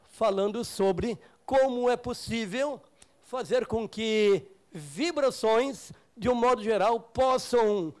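An older man with a Brazilian accent giving an improvised health lecture, emphatic and halting, often repeating and rephrasing himself. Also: sits high. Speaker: lecturer, doctor. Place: meeting room with projector screen, indoors.

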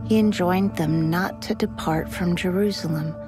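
A woman's voice: on the low side, fairly even intonation, moderate pace. A woman reading scripted scripture narration for an audio Bible. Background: music.

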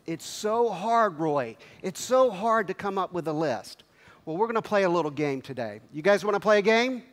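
A middle-aged man with a headset mic, giving an energetic motivational speech on a large convention stage and firing questions at the audience. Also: a little high. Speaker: company executive speaking at a convention. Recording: long hall reverb.

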